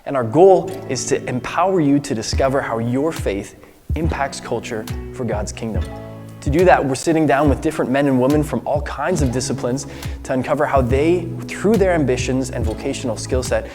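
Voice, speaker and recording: A man's voice: mid-range voice, normal speed, over music. A man hosting a faith podcast, talking in an earnest, friendly way with fillers and restarts. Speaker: podcast host. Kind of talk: casual interview.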